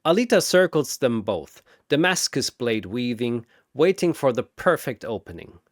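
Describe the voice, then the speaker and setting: A man's voice: medium pitch, very animated, normal speed, no echo, studio quality. A man narrating a scripted fantasy battle story in a dramatic style.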